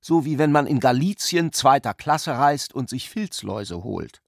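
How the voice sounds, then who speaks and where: A man's voice: mid-range voice, expressive, normal speed, gravelly, no echo, studio quality. A man reading literary prose and its dialogue as the narrator of a radio play.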